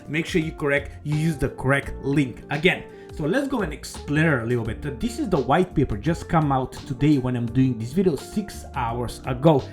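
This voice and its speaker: A man's voice: mid-range voice, expressive, moderate pace, few pauses, faint music behind. A man walking viewers through a crypto game on screen, eager and rambling, with non-native English phrasing and constant "okay"s. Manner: eager, rambling, peppered with "okay" and "all right". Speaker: crypto YouTuber. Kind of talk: casual screen-share walkthrough.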